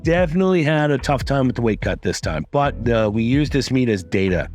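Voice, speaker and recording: A man coaching lifters, talking through results in a casual, upbeat recap with a raspy voice and plenty of swearing. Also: medium pitch, lively intonation, brisk, darkish tone, soft background music. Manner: upbeat, swears freely, many fillers. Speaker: strength coach.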